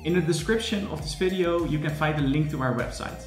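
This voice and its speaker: A man's voice: on the high side, a little fast. Young man tutor in an echoey room. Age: young adult. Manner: earnest, explanatory, with frequent "furthermore" and restarts. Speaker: course supervisor and tutor. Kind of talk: promotional talk to camera. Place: room with large windows, indoors. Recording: echoey room with faint music.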